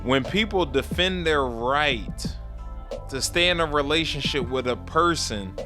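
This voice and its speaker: A man co-hosting a podcast in relaxed, joking banter, quick to agree with his partner. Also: on the high side, faint music behind. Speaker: podcast co-host. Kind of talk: casual podcast chat.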